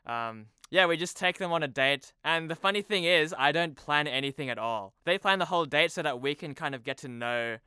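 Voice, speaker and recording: A man's voice: a little high, animated, fairly quick, hardly any pauses, sharp tone, clean tone, no room sound, studio quality. A young man chatting casually as a podcast guest, informal and self-deprecating. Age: young adult. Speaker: podcast guest, TV promo producer. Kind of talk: casual chat. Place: remote video call.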